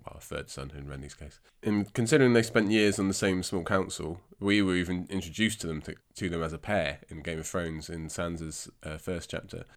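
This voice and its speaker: A man from England hosting a book podcast in a chatty, self-deprecating way, his voice congested and his throat scratchy from a cold. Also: sits low. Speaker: podcast host. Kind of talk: casual book podcast monologue.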